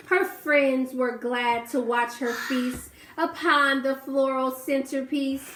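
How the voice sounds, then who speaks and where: Slow, high-voiced woman reading a picture book. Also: reverberant. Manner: slow, expressive reading with questions to the class. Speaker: teacher reading a story aloud. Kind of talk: storybook read-aloud to children.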